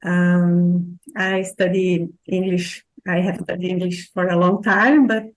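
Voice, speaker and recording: A woman from southern Brazil with a Brazilian accent, a student speaking hesitantly in English with many pauses. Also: fairly low pitch, little pitch movement, rough recording. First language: Portuguese. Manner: hesitant, with many fillers and restarts.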